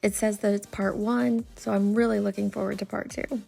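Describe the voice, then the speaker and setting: A woman delivers scripted online commentary in an earnest, measured manner. Her medium-pitched voice is noticeably creaky. The tone stays mellow and dark, without any sharp edge. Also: level tone. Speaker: true crime YouTube commentator. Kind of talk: scripted commentary, reading and summarising an article.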